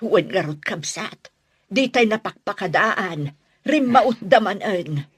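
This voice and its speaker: A woman's voice: mid-range voice, expressive, takes it slowly, slightly rough, no room sound, heavily acted. A woman playing a concerned, compassionate villager in a radio drama, earnest and sympathetic. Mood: worried.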